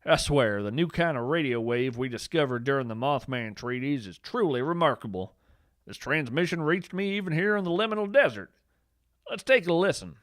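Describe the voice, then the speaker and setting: A man acts out a folksy field naturalist with a very lively, swooping delivery, recorded dry and close. He tells whimsical tales of strange creatures with earnest enthusiasm, as in a podcast monologue. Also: a little high, normal speed, no room sound. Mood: eager. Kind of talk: first-person storytelling, fiction podcast monologue.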